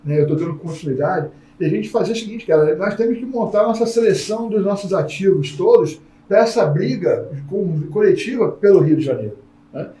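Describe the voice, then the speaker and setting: A man hosting a casual podcast conversation, a lively storyteller who acts out the people in his anecdotes. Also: high voice, a little fast. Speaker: podcast host. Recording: roomy sound from a distant mic.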